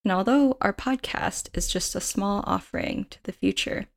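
A woman reading scripted podcast narration in a measured, reflective way. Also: medium pitch, vocal fry.

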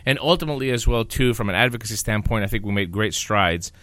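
Rambling male podcast host of Greek origin, bright tone, dry close sound. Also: lowish voice, fast talker, no room sound. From Greece. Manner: rambling, lots of fillers and repeats. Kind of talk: casual podcast chat.

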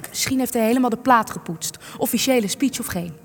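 An actress in a Dutch radio play, playing a sharp, cynical researcher who is sardonic, wordy and needling. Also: female voice, a little high, brisk. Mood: dry, wary. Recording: long reverb, music underneath.

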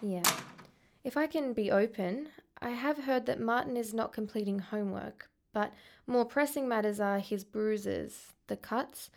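A woman's voice: on the high side, a little slow, creaky, a little mellow. A woman with an Australian accent playing a concerned, polite but insistent school counsellor in a radio drama. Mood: careful, concerned.

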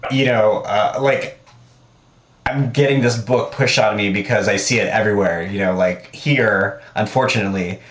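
A man hosting a podcast, asking questions in a halting way with many restarts. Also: lowish voice. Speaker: podcast host. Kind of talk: casual interview. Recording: echoey room.

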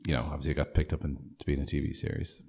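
Man, very low voice, film producer, casual interview, rambling, filler-heavy. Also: racing. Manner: relaxed, rambling with many fillers.

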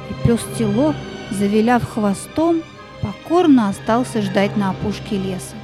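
A woman narrating a children's story in a measured, expressive way, drawing out words for dramatic effect. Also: medium pitch, music in the background.

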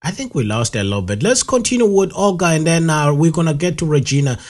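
A man's voice: a little high, animated, fast talker. A man hosting a live radio interview, rambling with many fillers and repeating himself. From South Africa. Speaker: radio show host.

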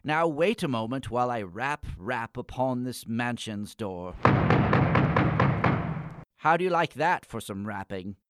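An actor playing a morose, pompous schoolboy with florid, wordy gothic diction in a comic radio play. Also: male voice, mid-range voice, animated, a little slow, long pauses, loud music behind the voice, lightly acted. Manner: pompous, wordy, hesitant when flustered.

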